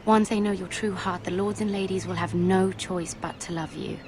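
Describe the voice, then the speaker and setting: A woman playing a young lady-in-waiting in a video game, girlish, eager and gossipy, with an expressive delivery. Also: mid-range voice, moderate pace, noisy background, natural voice. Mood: flattering. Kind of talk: cinematic video game voice acting.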